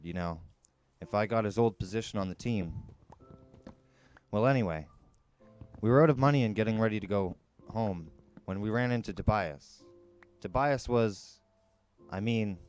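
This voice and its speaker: A man's voice: lowish voice, darkish tone. A man narrating a young adult novel as a storyteller, in a conversational first-person manner. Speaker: podcast host and storyteller.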